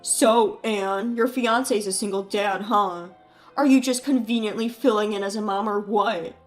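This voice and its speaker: A woman voicing a rude, mocking adult brother who talks loudly and scornfully, with faint music behind. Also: mid-range voice, steady tone, unhurried, natural voice. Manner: sneering, taunting.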